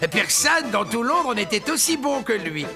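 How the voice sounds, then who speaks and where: An actor playing an excitable old miser in a cartoon, with a creaky voice and a theatrical, exclamatory delivery. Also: male voice, very high voice, normal speed, over loud music. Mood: nostalgic delight. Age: older. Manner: theatrical, exclamatory, stammering when troubled. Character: excitable old miser, nostalgic then frightened and pleading. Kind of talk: French cartoon voice acting.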